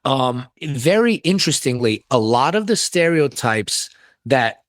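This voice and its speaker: A man as a podcast guest in casual, animated chat, from the United States, using a lot of slang and laughing often. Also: medium pitch, measured pace, no room sound, clean studio sound.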